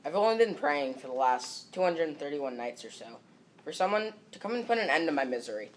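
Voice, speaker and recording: A man's voice: a little high, very animated, a little fast, slight background noise. A seventh-grade boy performing a scripted readers theater monologue. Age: about 12 to 13, seventh grader.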